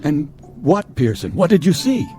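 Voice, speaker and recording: An actor playing an earnest, protective spaceship captain in a radio drama, agitated and commanding. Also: male voice, fairly high pitch, very animated, unhurried. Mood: urgent, worried. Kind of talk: dramatic radio play acting. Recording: radio drama with background music. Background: music.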